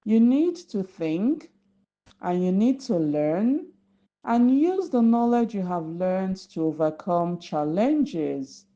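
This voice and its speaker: A woman narrating a folktale for young children as a storyteller, deliberate and instructive. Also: medium pitch, sing-song, dark tone. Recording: slightly dull lo-fi recording.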